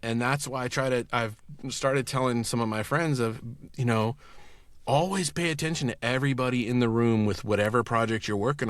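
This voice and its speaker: A man's voice: medium pitch, no room sound. A man telling anecdotes in casual podcast chat as a guest voice actor.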